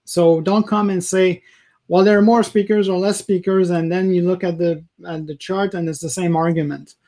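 Higher-pitched man, halting talker. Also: steady tone, dark tone. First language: French. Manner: halting, searching for words, self-correcting. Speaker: video blogger. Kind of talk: casual opinion rant to camera. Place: small indoor room, home office.

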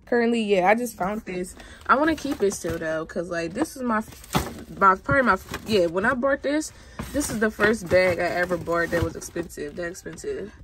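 A woman's voice: mid-range voice, moderate pace, background noise. A young woman vlogging casually as she cleans her room, rambling and talking straight to her viewers. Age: young adult. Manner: chatty, rambling, addresses viewers. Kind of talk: casual chat.

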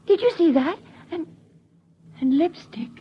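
A woman's voice: very high-pitched, lively intonation, unhurried. An actress playing an anxious, homely mother in a 1950s radio drama. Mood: flustered. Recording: muffled old radio recording.

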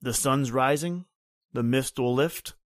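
A man's voice: medium pitch, slow, dry recording, clean studio sound, natural voice. A man playing a stoic, evasive boatman in an old-time radio horror drama, speaking tersely and repeating himself. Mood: cryptic, evasive.

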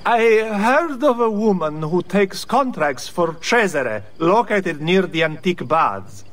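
A man's voice: high voice, very animated, takes it slowly, heavily acted. An actor playing a grieving man in a video game, pleading and sorrowful as he speaks of his murdered fiancée.

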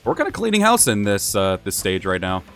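A fast-talking young man with a lower voice that swings widely in pitch, rambling through a video game playthrough. His voice is slightly hoarse, as if he's losing it, with game music faint behind him. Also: wildly swooping pitch, a bit bright. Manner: chatty, rambling with many fillers. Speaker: gaming channel host. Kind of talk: casual let's-play commentary.

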